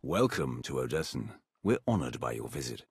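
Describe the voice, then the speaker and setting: An actor playing a stern, skeptical Sith commander in a video game, terse and authoritative. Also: male voice, extremely low pitch, big pitch swings, normal speed, creaky, darkish tone, slightly rough, no echo, studio quality, exaggerated voice. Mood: formal. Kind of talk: video game cutscene acting.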